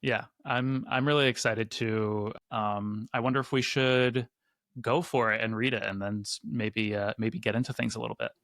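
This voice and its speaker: A man with a somewhat low voice talks about poems in a hesitant, searching way. He starts over often and leans on fillers and hedges. The sound is dry, with no room echo. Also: fairly even intonation, fairly bright tone. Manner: halting, hedging, many restarts and fillers. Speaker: podcast co-host. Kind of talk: conversational chat and poetry reading.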